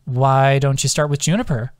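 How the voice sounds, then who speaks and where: A man's voice: mid-range voice, fairly even intonation, moderate pace, no room sound, close to an everyday voice. Casting: male narrator as teasing female companion, curious and sly, audiobook dialogue with a very dry sound. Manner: short, sly, prodding questions. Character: curious, teasing young woman, friend of the soldier.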